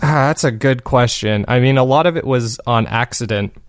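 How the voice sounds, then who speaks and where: A man as an interview guest, chatting casually and feeling his way through his answers in a halting manner. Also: medium pitch, clear, resonant tone, no room sound. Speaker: fiction podcast maker, interview guest.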